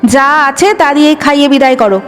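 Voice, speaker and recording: A woman's voice: high voice, fairly quick, a bit bright. A woman voicing a scornful, domineering older mother-in-law in an audio story, scolding with disdain. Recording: long reverb, music underneath.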